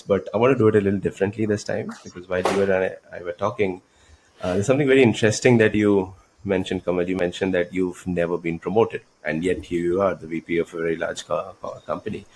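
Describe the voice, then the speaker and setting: Deep-voiced podcast host. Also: male voice, darkish tone, a little hiss or hum, rough recording. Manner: friendly, repeats himself. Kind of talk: podcast interview.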